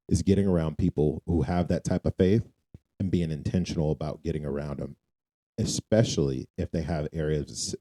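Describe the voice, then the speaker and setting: A man with an extremely low voice and a very dark, mellow tone co-hosts a faith podcast. He speaks informally and casually, repeating phrases for emphasis. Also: a little hiss or hum. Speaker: podcast co-host. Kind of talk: casual faith-focused conversation.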